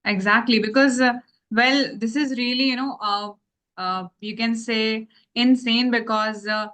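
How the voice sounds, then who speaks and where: A woman's voice: a little high, fairly even intonation, unhurried, a bit bright. Hindi-speaking woman host. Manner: attentive, frequent short acknowledgements. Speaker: interviewer, host. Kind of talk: conversational interview.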